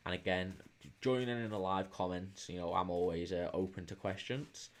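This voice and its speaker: A man with a fairly low voice and a Liverpool accent hosts a live stream. He talks casually to his viewers, reading out their comments and answering in a hesitant, informal way. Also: steady tone, moderate pace, a little hiss or hum. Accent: Liverpool English. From England, Liverpool. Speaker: livestream host. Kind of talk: casual live chat with viewers, football watch-along.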